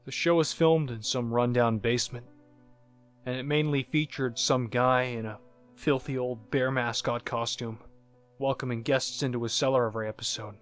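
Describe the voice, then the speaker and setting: A man voicing a haunted narrator who looks back on disturbing childhood memories in a first-person horror story, halting and reflective, like a confession. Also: medium pitch, fairly even intonation, clean tone, lightly acted. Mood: uneasy, recalling. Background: music.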